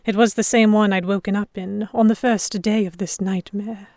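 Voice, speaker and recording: A woman's voice: medium pitch, steady tone, normal speed, a little mellow, no room sound, lightly acted. Woman acting a defiant young lady, close and dry. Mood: tense narration. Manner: articulate, literary, reflective storytelling. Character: defiant, sheltered young lady held captive by pirates. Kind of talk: first-person scripted audio drama narration.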